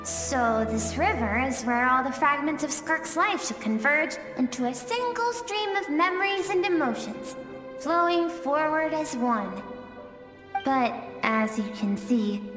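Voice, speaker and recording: Gentle mysterious young woman spirit, slow and soft, long echoing reverb, music behind. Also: sits high. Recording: echoey dreamlike reverb under music.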